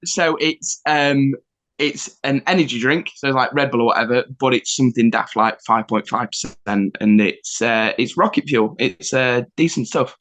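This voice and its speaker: A man's voice: mid-range voice, normal speed, fairly bright tone. Chatty young man. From Nottingham, England. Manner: chatty, self-deprecating, full of fillers. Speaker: musician guest. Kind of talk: casual interview chat.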